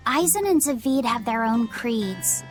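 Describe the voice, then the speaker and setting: An earnest youngster from a video game, gentle and innocent. The voice is high, with music underneath. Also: female voice, expressive, a little slow.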